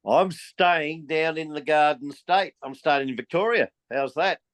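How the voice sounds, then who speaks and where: A man's voice: fairly high pitch, measured pace, fairly bright tone, dry recording. A man with an Australian accent co-hosting a racing tips chat, joking wryly as he gives his picks. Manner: joking, wry, throws in banter. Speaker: racing tipster co-host. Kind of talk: casual podcast chat about horse racing.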